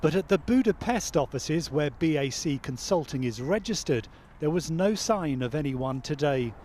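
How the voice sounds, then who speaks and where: Male news reporter, slightly high pitch, scripted voice-over. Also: expressive, moderate pace, a little mellow, a little hiss or hum.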